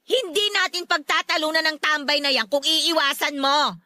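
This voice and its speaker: A woman's voice: sits high, steady tone, normal speed, bright, forceful tone, no echo, studio quality. A woman playing an angry, nagging middle-aged mother in a Filipino radio drama, harsh and sharply emphatic. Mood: angry, scornful. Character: angry, scolding mother who scorns her daughter's boyfriend.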